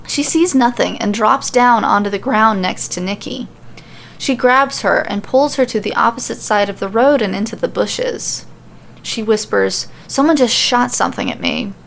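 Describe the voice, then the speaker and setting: A woman's voice: mid-range voice, normal speed, vocal fry, a little hiss or hum. A woman reading scripted fiction as the narrator of an audio story.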